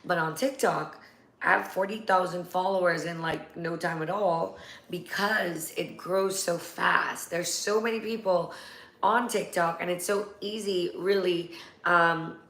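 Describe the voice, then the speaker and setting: A woman hosts a live interview in a chatty, animated way. Her voice sits a little low and sounds full of energy. The room is echoey. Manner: chatty, animated, quick to laugh. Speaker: host. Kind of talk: casual livestream interview.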